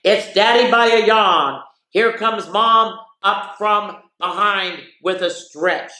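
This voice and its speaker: Slow-paced woman reading stories, echoey room. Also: medium pitch, pushed and bright. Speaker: children's story time host. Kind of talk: reading picture books aloud with chatty asides. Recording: echoey, roomy sound.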